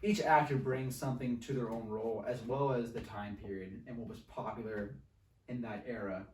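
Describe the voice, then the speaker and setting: A man's voice: mid-range voice, steady tone, moderate pace, a little mellow. A man co-hosting a podcast, discussing films in a casual, conversational way. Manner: informal, conversational. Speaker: podcast co-host. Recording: roomy echo, distant microphone.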